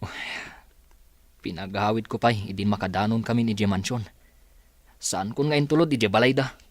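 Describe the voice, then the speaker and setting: A man's voice: fairly low pitch, normal speed, dry recording, lightly acted. A man with a Filipino accent acting a proud, evasive young boss's son in a comedy radio drama, defensive and hesitant. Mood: troubled.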